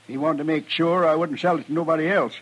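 An actor playing a sly, folksy rancher who feigns innocence in a drawling rustic manner, in an old-time radio western. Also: male voice, fairly high pitch, steady tone, fast, a bit bright, slightly rough, low-quality recording. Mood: self-righteous. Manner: drawling rustic speech, crafty. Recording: vintage radio drama recording.

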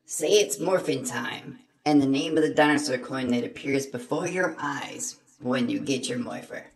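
A performer voicing an urgent robot helper who gives hurried instructions in an amateur audio drama. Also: medium pitch, natural voice. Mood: instructive.

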